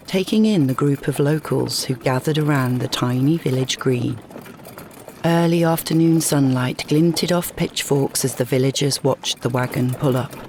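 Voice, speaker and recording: A woman reading a scripted short story as narrator, in a measured, dramatic storytelling manner. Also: very deep voice, a little mellow, a little hiss or hum.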